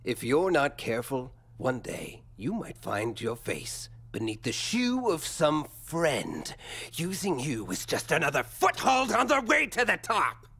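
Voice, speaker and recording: A man playing an unctuous, quietly threatening school official, speaking formally and theatrically in a video game. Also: a little high, wildly swooping pitch, breathy edge, heavily acted. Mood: falsely welcoming. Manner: formal, measured, insinuating.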